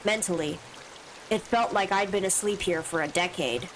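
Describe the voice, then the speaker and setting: A woman's voice: on the low side, moderate pace, fairly bright tone, a little hiss or hum, rough recording. A woman streamer with allergy sniffles, reading a visual novel's first-person text aloud with asides of her own. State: stuffy from allergies.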